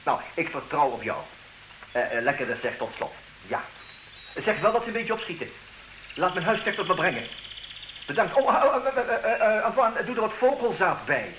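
An actor playing a suave, condescending, idle wealthy bachelor in a comedic radio play, with theatrical mock politeness. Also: male voice, sits high, big pitch swings, normal speed, a bit bright, low-quality recording. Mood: breezy, commanding. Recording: hissy old radio drama recording.

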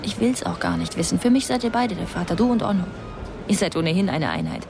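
A woman's voice: lowish voice, sing-song, fast, creaky, music in the background. A woman playing a resolute, earnest young pregnant woman in a naturalistic radio drama, speaking insistently. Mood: firm, urgent.

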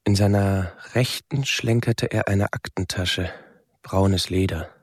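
An actor playing a withdrawn, melancholy young man who narrates a radio drama in measured, halting short phrases. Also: low-pitched, fairly even intonation, husky, no echo, studio quality, heavily acted. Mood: reflective. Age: young adult. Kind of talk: literary radio drama monologue.

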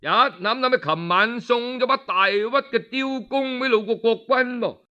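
A man with a Cantonese accent telling a historical tale in an expressive, colloquial oral storytelling style. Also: very high voice, a little slow, muffled recording. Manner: expressive, colloquial, acts out dialogue. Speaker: storyteller narrator.

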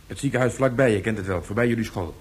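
A cautious, droll friend in a sci-fi radio drama. He is husky and quick, hedging in dry, colloquial turns. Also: lowish voice. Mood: reluctant, hedging. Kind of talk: Dutch science-fiction radio play acting.

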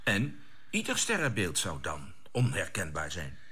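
An actor playing a decisive, composed spaceship captain in a radio drama, with a husky voice and an air of command. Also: male voice, low voice, huge pitch swings, a little slow, bright, forceful tone, full character voice. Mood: measured, assured. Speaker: expedition leader.

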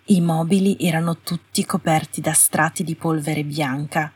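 A woman's voice: sits low, fairly even intonation, moderate pace, slightly rough. A woman reading a scripted story as an audiobook narrator. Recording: roomy, slightly distant home recording.

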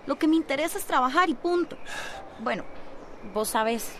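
High-voiced defiant Costa Rican teenage girl. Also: animated, normal speed, a little hiss or hum. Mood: determined. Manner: insistent, argumentative. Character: decided, defiant seventeen-year-old girl with dreams. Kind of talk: radio drama acting.

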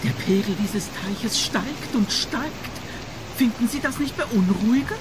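A woman's voice: medium pitch, a little slow, very noisy, lightly acted. An actress playing a grumbling, money-grubbing older woman with a portentous, querulous manner in a radio play. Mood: ominous.

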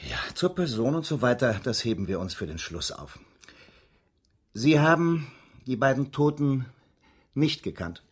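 Courteous, husky police inspector. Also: male voice, mid-range voice, sing-song. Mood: courteous, businesslike. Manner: courteous, measured, probing, slightly hesitant. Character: formal, methodical male police inspector questioning a suspect. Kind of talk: German radio drama acting.

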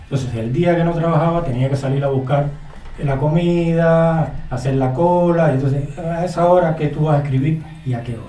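A man with a Cuban accent, chatting easily as a guest in a radio interview and telling stories in a relaxed way. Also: on the high side, fairly quick. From Cuba. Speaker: guest, radio colleague and author. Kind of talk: casual radio interview chat. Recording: echoey studio, distant mic, faint music.

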